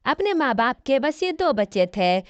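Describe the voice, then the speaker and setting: A woman's voice: high voice, very animated, moderate pace, clean tone, no room sound. A woman narrating a scripted cartoon story as the storyteller. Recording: dull, lo-fi audio.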